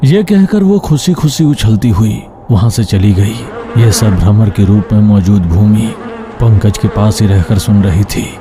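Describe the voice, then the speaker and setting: A man narrating an animated folk tale as scripted, dramatic storytelling. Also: on the low side, measured pace, background noise.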